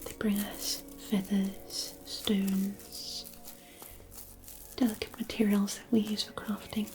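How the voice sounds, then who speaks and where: A woman as a whispering, unhurried gentle fairy. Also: medium pitch, little pitch movement, faint music behind, natural voice. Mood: soothing, fond. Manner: soothing, unhurried, softly murmured, reassuring. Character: gentle, kindly forest fairy caring for a lost visitor. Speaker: ASMR roleplayer. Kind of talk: whispered fantasy ASMR roleplay.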